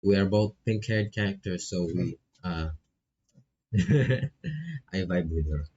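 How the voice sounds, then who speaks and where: A man's voice: sits low, slow, warm tone, dull audio, a little hiss or hum. A man doing laid-back, casual live commentary on a visual novel, reading text aloud and swearing freely as he reacts.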